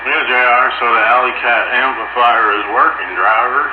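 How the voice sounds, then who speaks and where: A man's voice: medium pitch, fairly even intonation, moderate pace, muffled recording. Man on echoey, very noisy radio. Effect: two-way radio transmission. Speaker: amateur radio operator. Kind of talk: casual radio chat.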